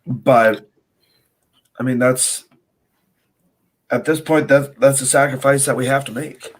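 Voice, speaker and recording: A man's voice: medium pitch, fairly even intonation, takes it slowly. A man co-hosting a casual livestream chat with teasing, light-hearted banter. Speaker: co-host.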